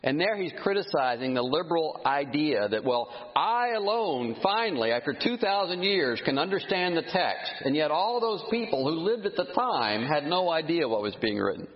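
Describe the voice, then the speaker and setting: Man preaching, emphatic, very lively pitch, long hall reverb, dull muffled sound. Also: normal speed, hardly any pauses. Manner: animated, emphatic, indignant at critics. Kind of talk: sermon.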